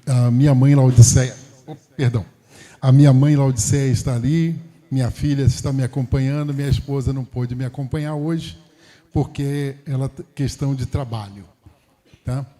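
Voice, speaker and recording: A man's voice: medium pitch, steady tone, moderate pace, dark tone, long reverb tail. A middle-aged Brazilian man from Rio de Janeiro preaching as a guest pastor in a conversational way, often adding short tags that seek agreement. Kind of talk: church sermon with personal greetings.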